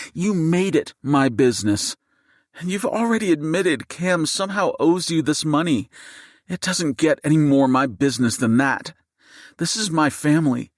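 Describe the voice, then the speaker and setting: A man voicing a fierce, furious mother who shouts and seethes at a gunman, in an audiobook. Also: fairly high pitch, no room sound, clean studio sound, voice pushed up.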